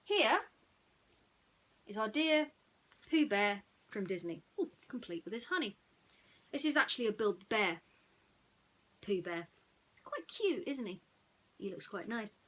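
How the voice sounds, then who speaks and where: A woman's voice: mid-range voice, sing-song, measured pace, pauses often, fairly bright tone. A woman storyteller narrating a bedtime story in a chatty way, putting questions to her listeners. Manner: addresses listeners with rhetorical questions.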